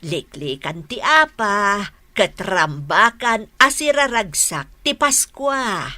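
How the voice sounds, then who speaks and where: A kindly, peacemaking elder neighbor in a radio drama. She speaks slowly in a bright, fairly high voice, close and dry, coaxing and admonishing earnestly. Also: expressive, dry recording. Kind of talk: Ilocano radio drama acting.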